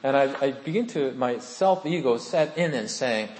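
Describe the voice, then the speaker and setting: Pastor preaching in an echoey hall. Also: male voice, mid-range voice, expressive, moderate pace, a little mellow. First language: Korean. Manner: earnest, conversational, asks the congregation questions. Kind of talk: sermon with personal testimony.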